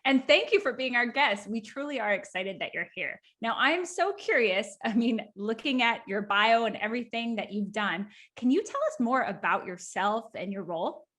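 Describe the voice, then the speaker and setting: A woman's voice: fairly high pitch, expressive, normal speed, few pauses, a bit bright. A woman hosting a podcast, addressing listeners and guest. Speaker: podcast host. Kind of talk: podcast intro and interview hosting.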